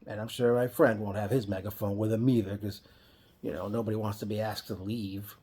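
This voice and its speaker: A man's voice: fairly low pitch, steady tone, a little fast, warm tone, slightly rough. A man giving a casual, rambling reaction vlog, often correcting himself mid-sentence. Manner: rambling, with frequent restarts and self-corrections. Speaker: wrestling fan vlogger.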